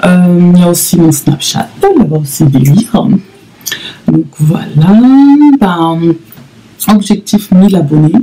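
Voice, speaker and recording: Thirty-something woman vlogging, lots of euh and restarts, dark warm voice. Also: fairly low pitch, steady tone, unhurried, pauses often, husky, rough recording. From Congo (DRC). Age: 30s. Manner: hesitant, many fillers and repeats. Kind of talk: casual solo vlog to camera.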